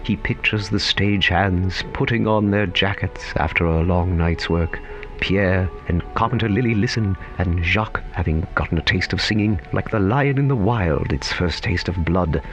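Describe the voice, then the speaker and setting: A man narrating a scripted story in a theatrical, wry, literary manner with deliberate phrasing. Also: low-pitched, lo-fi sound, soft background music.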